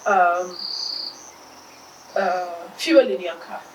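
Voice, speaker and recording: A Kenyan woman in her fifties speaking in a casual interview, animated and laughing often. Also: fairly low pitch, extremely slow. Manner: animated, mixes in Swahili phrases, laughs often. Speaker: interview guest. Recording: distant mic, echoey room, loud insect noise.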